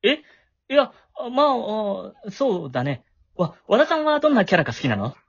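A man's voice: high-pitched, huge pitch swings, normal speed, vocal fry, a bit bright, slightly rough, no echo, highs cut off, full character voice. A man acting a shy, awkward middle-school boy with a crush in an amateur voice drama, flustered and prone to stammering. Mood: flustered, eager.

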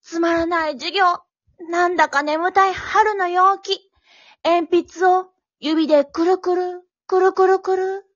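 A woman's voice: very high voice, steady tone, slow, dull audio. An actress playing an eccentric female manners instructor who talks in an earnest, dramatic way and rambles to herself. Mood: instructive. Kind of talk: comic amateur radio drama.

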